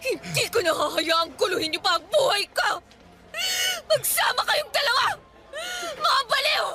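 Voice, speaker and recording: Radio drama: a fierce young heroine fighting back, high-pitched, over music. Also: female voice, lively intonation, moderate pace. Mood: furious shouting. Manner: shouting, tearful. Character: young woman, wronged pregnant heroine, fierce. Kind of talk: Tagalog radio drama acting. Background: music bed.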